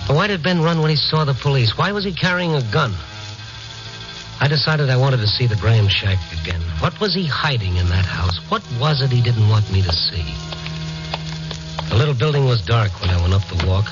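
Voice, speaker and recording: An actor playing an inquisitive, kindly night-beat reporter in a radio drama, moving between brooding narration and hesitant, soothing dialogue. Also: male voice, medium pitch, animated, a little fast, over music, lightly acted. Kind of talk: 1950s radio drama acting and first-person noir narration. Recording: muffled 1950s radio recording.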